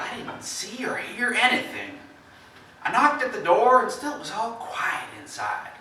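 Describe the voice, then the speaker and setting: A man acting a plain-spoken farmer in an amateur stage play, telling his story in a folksy, halting way and dramatizing the quotes. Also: high-pitched, sharp tone, heavily acted. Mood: uneasy, recalling. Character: plain-spoken neighboring farmer recounting a shocking visit. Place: theatre stage, indoors. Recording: echoey stage from far off, faint noise.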